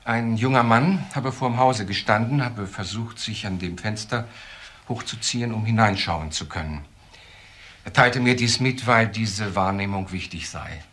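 A man's voice: fairly low pitch, unhurried, a bit bright. A man playing a polite, precise professional in a German crime radio drama, speaking formally and carefully. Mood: earnest, insistent.

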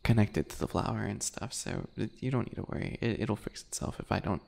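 A man voicing a sweet-spoken, obsessive male bunny predator in an ASMR roleplay, soft, breathy and teasing, often whispering. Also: on the low side. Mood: shy, sweet. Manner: slow, teasing, giggly, menacing.

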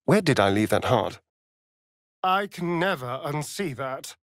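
An actor playing a sardonic, vain vampire rogue in a video game, with an arch, theatrical, drawling delivery. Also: male voice, medium pitch, big pitch swings, measured pace, no room sound, studio quality. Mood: sly, dry. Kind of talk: video game character lines.

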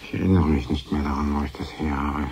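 Ein Schauspieler spielt in einem Hörspiel einen grüblerischen Künstler, der nachdenklich mit sich selbst spricht. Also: male voice, extremely low pitch, fairly even intonation, fast talker, darkish tone, slight background noise. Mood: pensive. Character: brooding male artist musing alone to himself. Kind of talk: introspective radio drama monologue.

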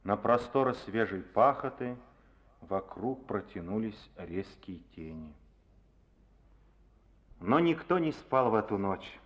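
A man narrating a Soviet radio drama in a measured, solemn storytelling voice. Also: on the low side, lively intonation. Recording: dull old archival radio recording.

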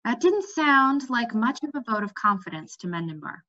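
A young adult woman reading a storybook aloud at home, expressive, with the odd stumble. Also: on the high side, moderate pace. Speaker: reader. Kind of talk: storybook read-aloud narration with lively dialogue. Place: bright room at home. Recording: slightly dull webcam audio.

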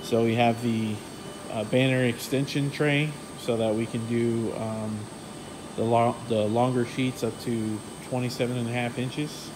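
A man's voice: mid-range voice, measured pace, noisy background. Man touring his print shop on a phone. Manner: relaxed, technical, many fillers. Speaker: print shop owner giving a tour. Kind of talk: casual walkthrough tour. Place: print shop offices and warehouse, indoors. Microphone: handheld phone camera, filming while walking.

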